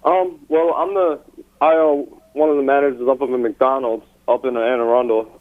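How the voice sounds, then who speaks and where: A man talks casually as a phone-in caller, a little hesitant and informal. His voice is medium in pitch and squeezed through a narrow phone line. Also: steady tone, moderate pace. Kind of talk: casual call to a broadcast.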